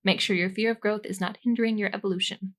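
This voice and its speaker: A woman's voice: medium pitch, fairly even intonation, quick pace, fairly bright tone. A woman co-hosting a podcast, explaining tarot cards in an informal, jokey, giggly way that moves between reading notes and casual chat. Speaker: podcast co-host.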